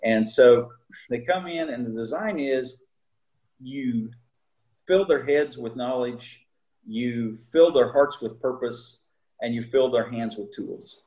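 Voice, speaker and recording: Middle-aged guy teaching at a seminary, stops and restarts a lot, mid pitch. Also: dark tone, rough recording. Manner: halting, many fillers and restarts. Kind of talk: casual interview talk.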